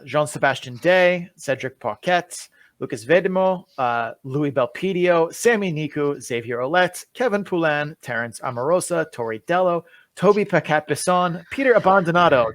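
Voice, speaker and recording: A man's voice: on the high side, dry recording. A young man co-hosting a hockey podcast, chatting casually and rattling off lists of players. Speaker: podcast co-host.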